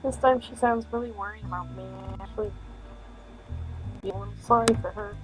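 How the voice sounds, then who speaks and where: A woman game streamer reads a visual novel aloud in a mid-pitched voice. She trips over the text and drops in casual, joking asides, with music clearly playing behind her. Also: fairly even intonation, fairly quick, rough recording. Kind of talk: reading a visual novel aloud with offhand comments.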